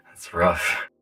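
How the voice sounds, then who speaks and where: A man's voice: bright, forceful tone, distant mic. An actor with a North American accent playing a weary, distressed man uprooted into a robot body, in a naturalistic, conversational video game style. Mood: curious, weary. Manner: conversational, swearing, brooding.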